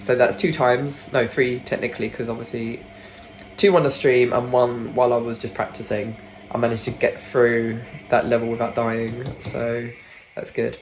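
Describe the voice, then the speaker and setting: A woman's voice: extremely low pitch, little pitch movement, moderate pace, a little mellow, slight background noise, poor audio. A British woman chatting casually in a vlog, rambling and swearing freely, sounding a bit congested. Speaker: gaming vlogger.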